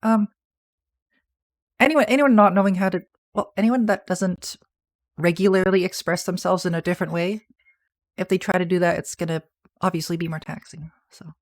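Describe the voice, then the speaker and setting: A man with a soft, feminine-sounding voice, chatting casually on a livestream and answering viewer messages in a rambling, soft-spoken way. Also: high voice, steady tone, moderate pace, long pauses. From Canada. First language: English. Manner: soft-spoken, rambling, with restarts and small laughs. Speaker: streamer.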